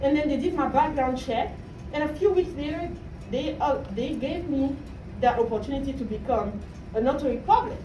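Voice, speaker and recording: A woman's voice: sits high, normal speed. A woman from Cameroon giving a motivational talk to students as a coach, checking in with questions as she goes. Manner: asks the audience questions, often adds "right?". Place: room at a university talk. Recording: roomy, distant mic, faint noise.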